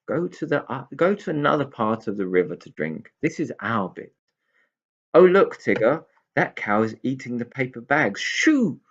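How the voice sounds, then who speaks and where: Guy doing an eager little storybook pixie boy, husky, exclaims a lot, slightly dull recording. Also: mid-range voice, very animated, normal speed, pitched above the performer's own voice. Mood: bossy, alarmed. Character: excitable, wishful young male pixie called Binky.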